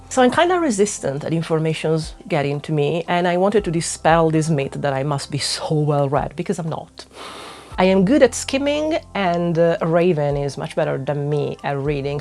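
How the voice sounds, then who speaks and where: A woman's voice: low voice, darkish tone. A young adult woman from northwest Italy who speaks English with an Italian accent, candid and self-deprecating in a casual vlog to camera, often restarting her words. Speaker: witchcraft YouTuber.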